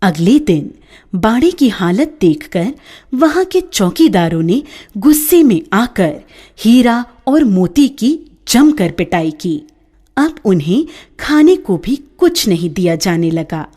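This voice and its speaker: A woman narrating a children's story in a scripted storybook style, with an expressive, lilting delivery. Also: mid-range voice, slow pace, husky.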